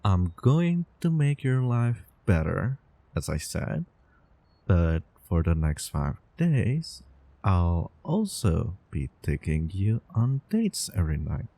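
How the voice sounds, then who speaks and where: A man's voice: sits low, big pitch swings, gravelly, dark tone, full character voice. A man playing an obsessively devoted, doting time-traveling lover in an intimate roleplay audio drama, coaxing the listener. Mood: insistent, smitten. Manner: slow, intimate, coaxing, over-the-top devotion. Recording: background ambience, light noise bed.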